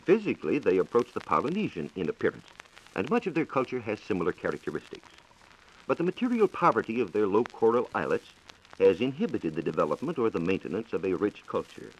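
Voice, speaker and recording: An American man giving a scripted 1940s radio science talk as a reporter, in a measured, formal lecturing manner. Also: on the low side, sing-song, slight background noise, poor audio. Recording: 1940s radio broadcast recording.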